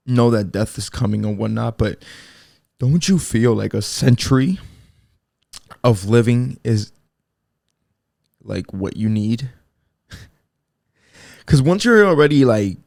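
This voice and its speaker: A man's voice: medium pitch, gravelly, a little mellow, dry recording, clean studio sound. A man in his twenties hosting a casual solo podcast, rambling in laid-back slang with lots of fillers and restarts. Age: 20s. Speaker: podcast host.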